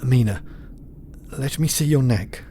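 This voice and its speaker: An actor playing a composed, concerned gentleman doctor in a gothic audio drama, polite and clinical. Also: medium pitch, measured pace, slight background noise, close to an everyday voice.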